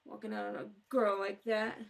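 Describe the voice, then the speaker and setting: A woman's voice: medium pitch, little pitch movement, normal speed, vocal fry. A woman playing an angry, self-pitying, hard-drinking neglectful mother in an old-time radio crime drama, brash and slangy. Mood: tearful, self-pitying.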